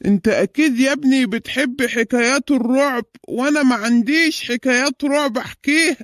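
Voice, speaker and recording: A man voicing a doddering, kindly old Egyptian grandfather in a comic cartoon, fussy and affectionate, with drawn-out exclamations. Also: very high-pitched, a bit bright, no room sound, clean studio sound. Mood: rattled. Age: older.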